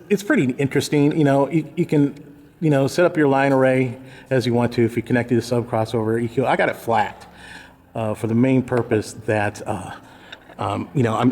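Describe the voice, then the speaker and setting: A man giving a casual, unscripted gear walkthrough in a halting, conversational way, often correcting himself. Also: fairly low pitch, long reverb tail. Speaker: presenter demonstrating audio gear.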